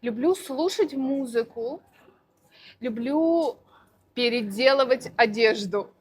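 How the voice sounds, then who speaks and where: Slow, deliberate woman teacher. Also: a little high, animated. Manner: slow, deliberate, clearly articulated. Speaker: Russian language teacher. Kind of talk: answering interview questions for learners.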